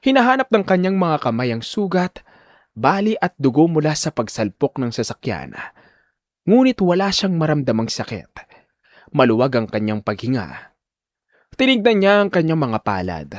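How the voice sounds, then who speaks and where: A man's voice: mid-range voice, dry recording, studio quality. A man narrating a scripted audio drama in a suspenseful, theatrical manner. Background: music.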